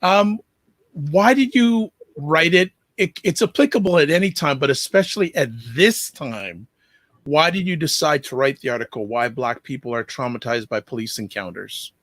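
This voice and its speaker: A man's voice: fairly high pitch, lively intonation, moderate pace, a bit bright, no echo. A man hosting an interview show, friendly and playful, often stumbling over his questions. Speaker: show host.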